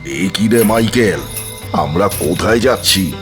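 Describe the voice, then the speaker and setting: A man's voice: sits low, lively intonation, unhurried, music in the background. A man voicing a cowardly, bewildered uncle in a horror cartoon, in a nervous, comic, exclaiming way. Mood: alarmed, baffled. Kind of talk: cartoon horror story acting.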